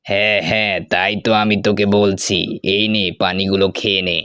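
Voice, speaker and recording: A man plays a scheming hermit king for a cartoon fable. The voice is low and smooth, recorded dry. He speaks grandly, like a guru who is used to being obeyed. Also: level tone, fairly quick, sharp tone, no room sound. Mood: coaxing, avuncular. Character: sly male hermit who is secretly an island king. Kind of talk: animated story character acting.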